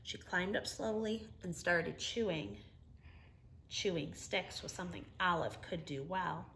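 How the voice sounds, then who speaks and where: A middle-aged woman reading a children's story aloud in an expressive, emphatic storytelling manner. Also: on the low side, unhurried. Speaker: storybook reader. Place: living room, indoors.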